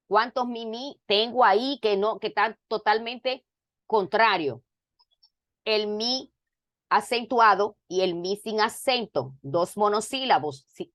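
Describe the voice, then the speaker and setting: A woman teaching an online class over a video call, explaining grammar slowly and repeating words and examples to drive them home. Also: fairly high pitch, a bit bright, dry recording, studio quality.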